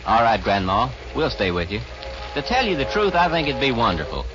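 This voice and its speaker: Man playing an easygoing cowboy, lively, old radio. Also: mid-range voice, brisk, music in the background, natural voice. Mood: agreeable. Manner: folksy, amiable. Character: easygoing, polite cowboy, amiably humouring grandma. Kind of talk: 1940s Western radio comedy acting. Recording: dull 1940s radio recording.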